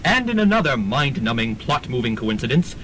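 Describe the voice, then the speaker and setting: A man acts as the tongue-in-cheek announcer of a student radio serial. His mid-pitched voice swings up and down through scripted narration, with faint rumble on the recording. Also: sing-song, a little fast, a bit bright. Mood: wry, announcing.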